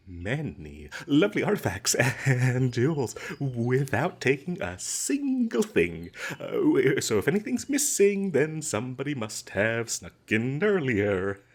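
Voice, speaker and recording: A glib, ingratiating male adventurer, acted out by a man for a comedic fantasy roleplay. His mid-pitched voice rises and dips freely. He takes his time, hesitating and stammering through flowery, courteous excuses. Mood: indignant protest. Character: smooth-talking captured adventurer, nervous, flattering, glib male trespasser. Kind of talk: comedic fantasy audio roleplay, scripted monologue.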